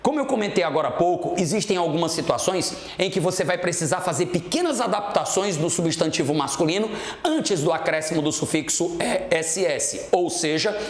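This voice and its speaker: Man, Brazilian accent, high-pitched, didactic language teacher, grammar lesson delivery, echoey room recording. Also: expressive, brisk. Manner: didactic, checks understanding often. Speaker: English teacher. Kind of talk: scripted-feeling grammar lesson to students. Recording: roomy, echoing room sound.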